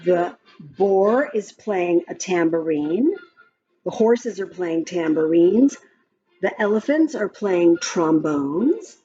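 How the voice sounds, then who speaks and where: A woman reading a children's picture book aloud in a gentle, expressive storytime voice. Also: medium pitch, highs cut off.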